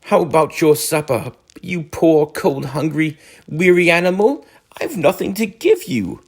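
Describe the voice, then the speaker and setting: A man's voice: fairly high pitch, expressive, unhurried, husky, pitched above the performer's own voice, exaggerated voice. A man voicing a gloomy, fretful, self-pitying mole in a storybook, moaning and groaning dismally. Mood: mournful, moaning.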